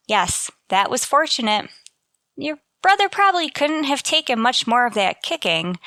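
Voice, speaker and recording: A woman acts a wry, self-deprecating female teacher in a radio sitcom. Her voice is bright and crisp-edged, swinging widely in pitch as she delivers dry wisecracks. The sound is dry, free of room echo. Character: wry, unlucky, sardonic female high school teacher. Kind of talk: scripted radio sitcom acting with first-person narration.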